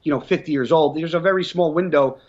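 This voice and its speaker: A man's voice: on the high side, fast talker, dull audio. A man in his thirties from New Jersey, a professional bodybuilder chatting bluntly in an interview, swearing freely and telling stories with energy. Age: 30s. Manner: blunt, swears often, animated storytelling. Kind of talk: casual interview chat.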